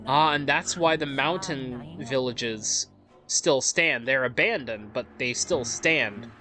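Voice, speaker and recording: A woman's voice: a bass voice, slow pace. A woman chatting casually and jokingly as she hosts a video game let's play. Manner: conversational, animated, chatty. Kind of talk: casual jokey game commentary with acted asides. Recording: game music behind the voice. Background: video game music.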